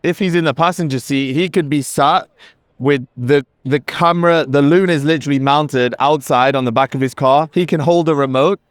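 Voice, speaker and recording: A man's voice: fairly high pitch, moderate pace, no echo, clean studio sound. A man representing a company, giving an enthusiastic, casual product demo on a busy trade show floor. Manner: enthusiastic, conversational, with fillers. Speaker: company representative demoing a camera.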